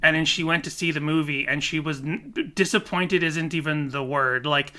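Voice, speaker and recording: A man co-hosting a podcast in casual chat, animated and laughing often, with rambling restarts. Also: on the high side, normal speed. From Korea. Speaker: podcast co-host.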